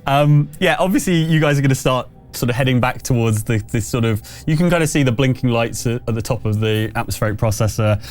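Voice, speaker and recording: A man runs a tabletop roleplaying game as its game master. His mid-pitched voice sets the scene like a conversational storyteller, with music playing behind him. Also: fast, few pauses.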